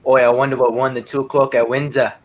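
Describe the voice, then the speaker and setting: A man's voice: medium pitch, little pitch movement, fast talker, close to an everyday voice. A young man acting a chatty working-class London train passenger in a 1930s-style radio play.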